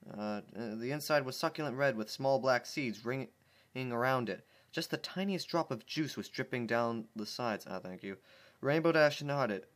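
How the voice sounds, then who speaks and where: A man reading fan fiction aloud, his voice sitting at an ordinary middle pitch. He stumbles over words and keeps breaking off into wry side comments, with a loose, unpolished home-reading feel. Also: a bit bright. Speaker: fanfiction reader. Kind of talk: casual dramatic reading with commentary.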